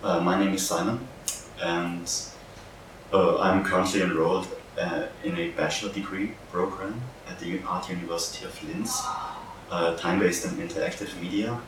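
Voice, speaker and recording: A young man from Austria with an Austrian accent, a native German speaker, haltingly explaining a project as an art student. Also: low voice, fairly even intonation, a bit bright. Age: young adult. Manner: hesitant, halting delivery with searching pauses. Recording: very echoey, distant mic, noisy background.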